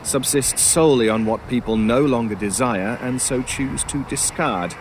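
Sinister crow creature, acted by a man, horror audio drama, erudite, formal, drily mocking, mid-pitched, noticeable background noise. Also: normal speed, natural voice. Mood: smug, matter-of-fact. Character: sinister talking crow-like scavenger of souls, sardonic.

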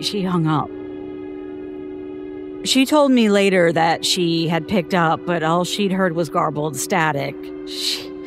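An actress playing a shaken woman who haltingly recounts a traumatic childhood ordeal in an audio drama monologue. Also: on the low side. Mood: fearful, upset. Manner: halting, haunted storytelling. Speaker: interviewee.

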